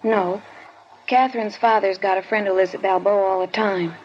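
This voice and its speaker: A woman's voice: mid-range voice, steady tone, natural voice. Woman playing a guarded teenage schoolgirl. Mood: recounting. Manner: guarded, halting, evasive answers. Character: wary 15-year-old schoolgirl informant, guarded. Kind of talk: 1950s radio drama acting. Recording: dull lo-fi old radio recording, clear hiss.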